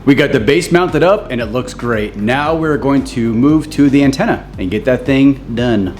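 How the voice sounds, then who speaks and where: A man presenting a casual how-to in an explanatory, measured way, as co-host of a gear channel. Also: mid-range voice, a little mellow, faint music behind.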